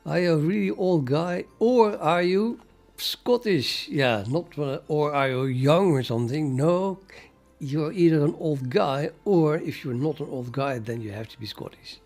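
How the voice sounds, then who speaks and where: An older man from the Netherlands with a Dutch accent, talking casually and haltingly to his livestream chat while he codes. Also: a little high, expressive, gravelly, a little mellow, soft background music. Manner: musing, hesitant, halting with many fillers, chuckles to himself. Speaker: programming livestreamer.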